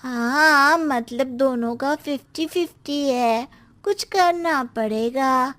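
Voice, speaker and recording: Woman voicing a cheeky, slow-drawling high-pitched little schoolboy. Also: a bit bright. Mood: matter-of-fact. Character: cheeky lazy young schoolboy, son of the house. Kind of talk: Hindi cartoon story dialogue.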